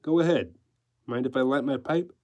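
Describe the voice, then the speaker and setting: A man's voice: medium pitch, normal speed, darkish tone, highs cut off, close to an everyday voice. A male reader voices a veteran newsman in a storybook mystery. He is unruffled and blunt, probing in a plain, direct way. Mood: casual.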